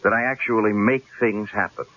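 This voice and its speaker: An actor playing a wry, worried newspaper columnist in a radio drama, with a dry, measured manner. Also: male voice, on the low side, steady tone, slight background noise, lightly acted. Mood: grimly composed. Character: wry, worried male newspaper columnist facing a death threat. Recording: muffled old radio drama recording.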